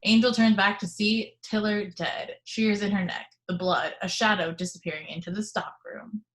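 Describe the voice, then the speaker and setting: A woman reading stage directions aloud in a table read, steady and matter-of-fact. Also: medium pitch, moderate pace. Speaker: reader of the action description. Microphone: video call microphone at home.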